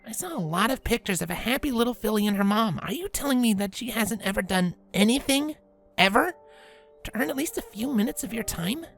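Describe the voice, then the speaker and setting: A woman voicing a tomboyish, protective grown pegasus pony, speaking in a calm, low, reassuring way in an acted audio drama. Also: fairly quick, vocal fry, faint music behind. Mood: calm, firm.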